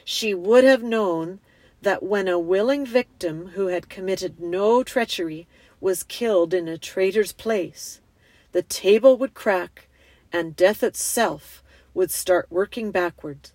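A woman voicing a great male storybook lion, majestic and gentle, speaking gravely and slowly. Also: mid-range voice, dry recording. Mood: solemn, assured.

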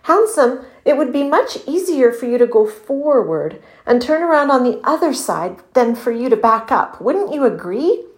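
A woman's voice: high-pitched, big pitch swings, moderate pace, few pauses, roomy sound, voice pushed up. A woman voicing a patient, encouraging male animal friend in a storybook, coaxing in an upbeat, reasonable way. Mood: gently persuasive.

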